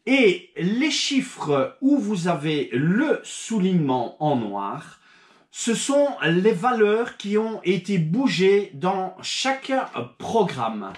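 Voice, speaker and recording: A man's voice: sits high, very animated, takes it slowly. A man hosting his own hobby video channel, recovering from a cold, talking casually to subscribers with many fillers and restarts. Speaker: channel host. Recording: echoey room.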